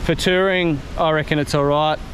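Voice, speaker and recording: A relaxed man in his thirties chats through a tool test out in a grassy paddock, his voice sitting a touch high for a man. He talks loosely, with plenty of ums and restarts. Wind buffets the camera mic throughout. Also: moderate pace. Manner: easygoing, rambling, many ums. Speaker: vlogger reviewing gear. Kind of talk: casual product test chat. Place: outdoors in a grassy bush paddock. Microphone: camera mic, far tripod then held at arm's length. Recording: outdoor wind noise on the mic.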